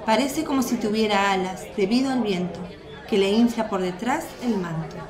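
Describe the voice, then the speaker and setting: A woman's voice: mid-range voice, normal speed. A woman reading scripted narration for a radio drama. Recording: echoey, crowd murmur behind.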